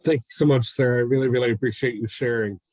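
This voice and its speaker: A man's voice: medium pitch, fairly even intonation, fast, a little mellow, no room sound. A man moderating an online panel, thanking a speaker and handing over graciously. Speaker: webinar moderator.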